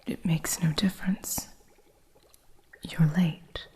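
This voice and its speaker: A woman voicing a stern, impatient witch who runs a curio shop, in a soft whispered ASMR roleplay with a strict, haughty manner. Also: deep voice, steady tone. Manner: strict, haughty, slow and deliberate.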